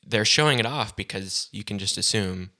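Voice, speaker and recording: A man co-hosting a casual tech podcast, explaining in a relaxed way with lots of "like" and "um". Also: lowish voice, moderate pace. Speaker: podcast co-host.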